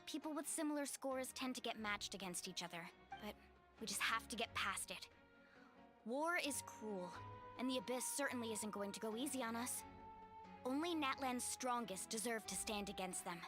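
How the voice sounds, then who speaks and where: A woman's voice: a little high, animated, normal speed, music in the background, natural voice. A woman voicing a confident, kind and resolute young warrior girl in an anime-style video game.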